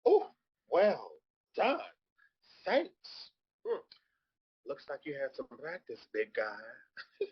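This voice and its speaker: Casting: male actor as sassy, gossipy drag queen, theatrical drawn-out lines, lively swoops, slightly raspy, dull recording. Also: a little high, full character voice. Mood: flirty, gossipy. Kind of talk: comedic live table read. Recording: dull lo-fi audio.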